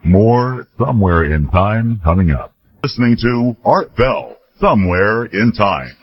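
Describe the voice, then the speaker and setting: A man with a lowish voice announces a radio program from a script. His delivery is highly animated in pitch, and the broadcast sound is a little muffled. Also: unhurried, husky, no room sound. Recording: slightly dull radio broadcast.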